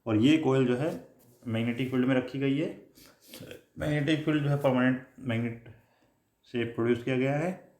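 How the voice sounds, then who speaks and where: A man's voice: medium pitch, darkish tone. Indian male lecturer in an echoey room. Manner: halting, repetitive explaining with frequent filler phrases. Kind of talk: technical lecture in Hindi mixed with English terms.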